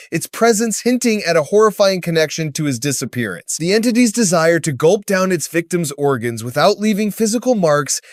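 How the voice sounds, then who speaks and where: A man's voice: high-pitched, a little mellow, no room sound, clean studio sound. A man reading scripted explainer narration in a casual, wry way with joking asides.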